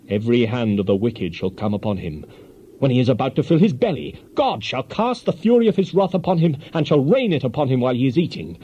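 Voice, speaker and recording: A man's voice: medium pitch, dark tone, natural voice. An actor playing a stern ancient man who condemns the wicked in a solemn, declamatory scripture dramatization. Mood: fierce. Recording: dull lo-fi audio with faint noise.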